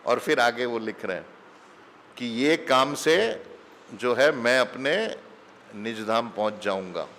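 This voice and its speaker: Spiritual teacher, man, middle-aged, unhurried storytelling sermon, mid-pitched, long hall echo. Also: expressive, fairly bright tone, a little hiss or hum. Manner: slow, mixes English phrases into Hindi. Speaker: spiritual teacher giving satsang. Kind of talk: conversational sermon with anecdotes.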